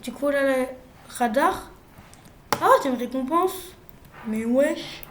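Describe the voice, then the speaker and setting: A young woman with a cold, chatting playfully through gameplay commentary, jumping from loud exclamations to quiet mumbles. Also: sits high, lively intonation, slow, roomy sound, a little hiss or hum. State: has a cold. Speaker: gaming youtuber.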